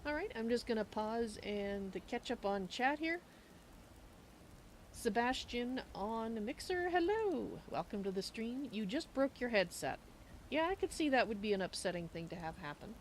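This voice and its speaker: A woman's voice: fairly high pitch, expressive, moderate pace, a little hiss or hum, low-quality recording. A woman hosting a casual livestream, chatting in a rambling, self-correcting way. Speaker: streamer, host.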